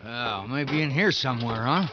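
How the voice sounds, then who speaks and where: An actor playing a simple, excitable, plain-spoken convict in a 1950s radio drama. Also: male voice, mid-range voice, normal speed, natural voice. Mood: plodding, curious. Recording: dull, noisy vintage radio recording.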